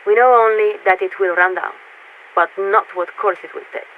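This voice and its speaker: A woman playing a polite, formal military radio signaler in a video game, speaking in a measured, patient, officious way through a radio filter. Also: medium pitch, steady tone. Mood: patient explaining. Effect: radio transmission filter. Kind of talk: scripted game voice acting. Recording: tinny narrow-band radio with static.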